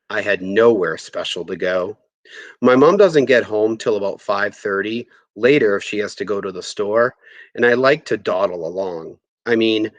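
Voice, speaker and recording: A man reading a first-person story aloud in an expressive, conversational way. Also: lowish voice, no echo, muffled recording. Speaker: audiobook reader.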